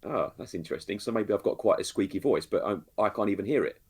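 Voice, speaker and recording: A man's voice: on the low side, fast, husky. A man joining a livestream as a guest, friendly and generous with praise. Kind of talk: casual livestream chat.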